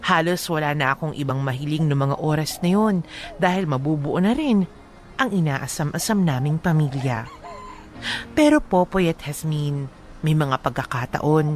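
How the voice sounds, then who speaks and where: Ellen, earnest young storytelling wife. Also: female voice, deep voice. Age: 20s. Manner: earnest, reflective. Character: Ellen, heartfelt 29-year-old wife telling her life story. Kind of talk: dramatic first-person radio narration.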